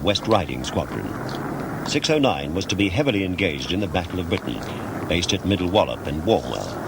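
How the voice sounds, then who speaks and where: A man's voice: low voice, noisy background. A man reading scripted documentary narration in a formal, precise, factual manner.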